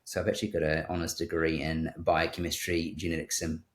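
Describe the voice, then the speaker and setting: A man's voice: very deep voice, little pitch movement, fairly quick. A man with a New Zealand accent, a guest surgeon speaking in a thoughtful conversational interview and often repeating words as he starts a sentence. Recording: roomy echo.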